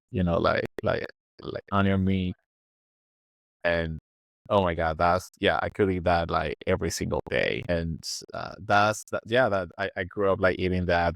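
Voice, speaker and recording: A man with a Puerto Rican Spanish accent, born in Venezuela and raised in Puerto Rico, guest in an interview. He talks casually and haltingly, with many restarts and fillers. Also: low-pitched, gravelly, no room sound. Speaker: guest, environmental engineer.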